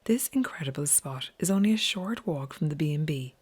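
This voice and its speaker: Woman doing travel doc narration, voice a bit on the low side. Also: animated, a little fast, a little mellow, husky. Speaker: documentary presenter.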